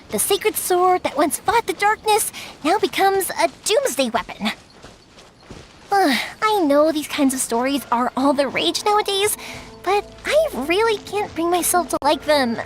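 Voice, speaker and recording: An actress playing a lively, chatty young fireworks maker who tells stories affectionately. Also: female voice, very high voice. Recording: game audio with music behind.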